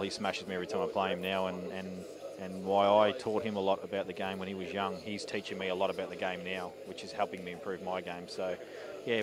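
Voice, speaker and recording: An Australian man holding a handheld mic in a pool hall, answering interview questions with hesitant, rambling sentences. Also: deep voice. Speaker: pool player.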